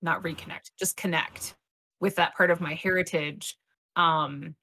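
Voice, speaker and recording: A woman speaking on a casual panel discussion, earnest and measured, pausing with "uh"s. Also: lowish voice, fairly even intonation, pushed and bright.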